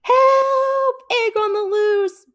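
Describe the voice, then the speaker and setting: A woman voicing an earnest, gullible male bear from a storybook, easily excited. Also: extremely high pitch, very slow, pitched above the performer's own voice, full character voice. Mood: frantic, excited.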